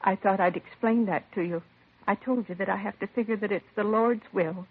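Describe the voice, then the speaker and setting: A woman's voice: mid-range voice, brisk. An actress playing a composed middle-aged mother of a slain son in a 1950s radio crime drama, answering plainly and with restraint. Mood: confiding. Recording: vintage 1950s radio, narrow husky sound.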